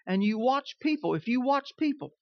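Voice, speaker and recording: A man's voice: extremely high pitch, very animated, moderate pace, vocal fry, no echo. A man in his fifties with a Southern twang, preaching a sermon in a conversational way and often checking that his listeners are following. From Kansas. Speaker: pastor. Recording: dull, dry recording.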